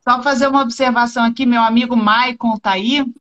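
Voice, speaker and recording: A woman hosting a livestream, telling a personal anecdote in a quick, chatty way. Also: a little high, fairly even intonation, fairly bright tone, dull audio. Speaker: host and instructor. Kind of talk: livestream chat.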